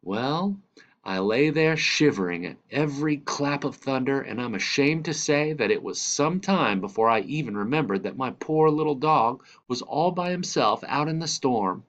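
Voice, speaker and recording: Guy doing a grandpa telling a storybook tale, wry n fond, really dramatic, pitched up, bit muffled audio. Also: lively intonation, moderate pace, a bit bright, lightly acted. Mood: dramatic, anxious.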